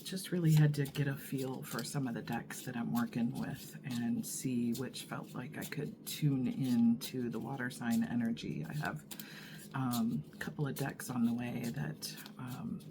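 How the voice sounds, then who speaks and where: A woman reading tarot in an unhurried, musing way. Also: a bass voice, fairly even intonation, noisy background. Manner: unhurried, musing, many fillers and pauses. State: husky morning voice from allergies. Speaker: tarot reader. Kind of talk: casual tarot reading to camera.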